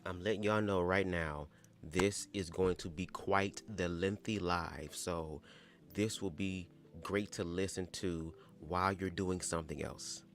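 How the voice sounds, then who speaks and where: A young man hosting a casual livestream, with a calm voice and chatty, sassy asides to his viewers. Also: unhurried. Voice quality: calm low voice. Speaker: livestream host. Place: home, squeaky old chair.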